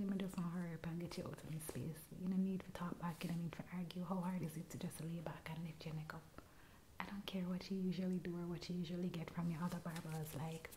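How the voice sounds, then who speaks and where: A woman in her thirties with a Jamaican accent, voicing a rude, impatient barber in a soft-spoken ASMR roleplay, recorded close to a binaural mic in a small room. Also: on the low side, slight background noise, natural voice, poor audio. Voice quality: soft-spoken, often whispering. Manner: curt and scolding. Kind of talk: ASMR personal attention roleplay. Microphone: close binaural mic, leaning toward the camera.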